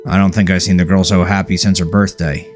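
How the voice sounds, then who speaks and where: A man's voice: sits low, little pitch movement, fast, faint music behind, lightly acted. A man voicing a weary, caring, plain-spoken elderly father with folksy phrasing in an audiobook. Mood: fond pride.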